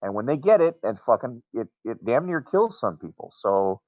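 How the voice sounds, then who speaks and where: A man's voice: mid-range voice, sing-song, normal speed, dry recording. A man in a casual voice chat, talking bluntly and swearing constantly while rambling on with warnings. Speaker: group chat member. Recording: muffled lo-fi voice chat mic.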